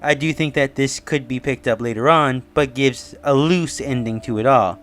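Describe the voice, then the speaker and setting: A man's voice: medium pitch, normal speed, fairly bright tone. A man hosting an online review, musing conversationally through his opinions and theories with frequent asides. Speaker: online video reviewer and host. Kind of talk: casual review and theory commentary.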